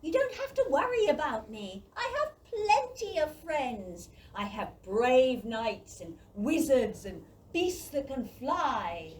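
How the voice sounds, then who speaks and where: Woman as a bright-eyed bookish young boy, lively. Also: sits high, slow, hardly any pauses, distant mic, full character voice. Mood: earnest. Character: bookish, confident, reassuring young farm boy. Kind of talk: children's audio play acting.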